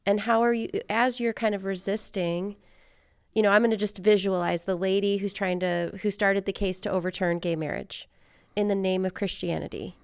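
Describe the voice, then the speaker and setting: A woman's voice: medium pitch, steady tone, normal speed, smooth, dry recording, clean studio sound. A woman hosting a casual, reflective interview, earnestly thinking aloud and searching for her words. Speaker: podcast host, interviewer.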